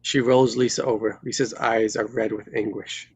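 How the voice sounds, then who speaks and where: A man's voice: fairly low pitch, level tone, moderate pace, slightly rough. A man reading stage directions as scripted narration at a live table read.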